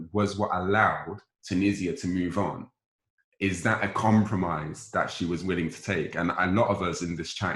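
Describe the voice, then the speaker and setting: Male discussion host, very low voice, halting and probing, casual, reverberant pickup. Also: fairly bright tone. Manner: halting, probing questioner who rephrases as they go. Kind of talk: casual group discussion moderating. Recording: roomy, echoing voice pickup.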